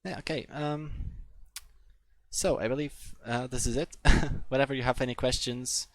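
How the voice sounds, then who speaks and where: A young man with a Polish accent teaches a live coding session in a gravelly, mid-pitched voice. He hesitates often and fills pauses with "uh" as he works. The sound is close and dry. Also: steady tone, no echo. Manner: hesitant, many fillers and restarts. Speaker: coding instructor. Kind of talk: live-streamed coding tutorial.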